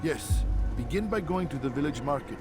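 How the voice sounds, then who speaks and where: An actor playing a dutiful, patient assassin instructor in a video game, formal and measured. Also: male voice, on the high side, lively intonation, close to an everyday voice. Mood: patient, firm. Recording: loud music behind the voice. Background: music.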